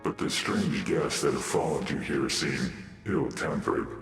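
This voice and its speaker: Game role: stately alien guard, bottomless register, ceremonial wary diction, distant sound. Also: male voice, rumbling low, steady tone, unhurried. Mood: wary greeting. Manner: archaic, formal phrasing. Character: stately alien dark warrior guard, male, formal and wary. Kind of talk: video game voice acting. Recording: echoing, distant, faint game music.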